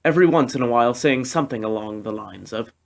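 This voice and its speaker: A man's voice: medium pitch, animated, fast, creaky, noisy background. A man narrating a science fiction novel in the style of an audiobook, reading from a script.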